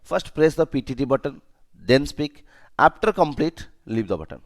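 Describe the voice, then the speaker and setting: A man's voice: mid-range voice, very animated, normal speed. A man with an Indian accent giving an instructional lesson as a trainer, speaking emphatically, repeating key points and checking understanding with short questions. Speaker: safety and security trainer.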